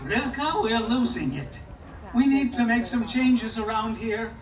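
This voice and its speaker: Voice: mid-range voice, animated, unhurried, noisy background, lightly acted. A performer playing a harried, good-natured village father in a live puppet show, exclaiming and pleading in comic exasperation. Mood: exasperated, delighted. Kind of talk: live puppet show folk tale acting. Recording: echoey live show, distant mic.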